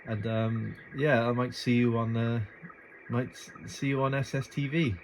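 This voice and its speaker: A man's voice: mid-range voice, fairly even intonation, a little slow. A man giving a casual, unscripted tutorial as a radio hobbyist, thinking aloud in an informal, hesitant way into a phone. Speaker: amateur radio hobbyist making a tutorial video. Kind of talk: casual unscripted screen and gear walkthrough. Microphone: handheld phone filming the setup. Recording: noisy amateur phone recording.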